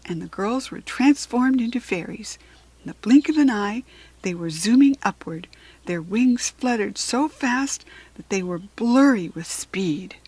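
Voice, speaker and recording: A woman's voice: medium pitch, darkish tone, slightly rough, no room sound, low-quality recording. A woman with an American accent reading a children's storybook aloud as a narrator.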